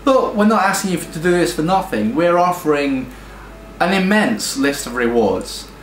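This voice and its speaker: A British man pitching his game studio's project, talking enthusiastically with frequent ums and restarts. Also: animated, normal speed, a little hiss or hum. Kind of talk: earnest crowdfunding pitch. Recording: echoey room with faint music.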